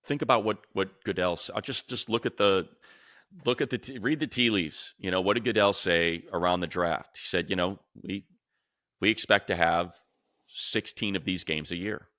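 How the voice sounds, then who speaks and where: A man's voice: deep voice, moderate pace, sharp tone, no echo, studio quality. An American man co-hosting a sports podcast, giving emphatic, slangy opinions in a casual way. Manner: emphatic, slangy, repeats phrases. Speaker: sports podcast co-host, announcer.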